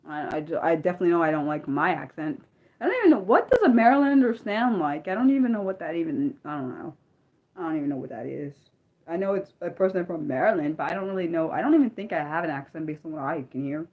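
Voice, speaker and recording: Rambling, self-deprecating American woman streamer. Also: lowish voice. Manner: rambling and self-deprecating, many trailing "I don't know"s; laughs often, swings between loud bursts and soft mumbles. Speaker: livestream host. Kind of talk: casual unscripted livestream chat, answering viewers.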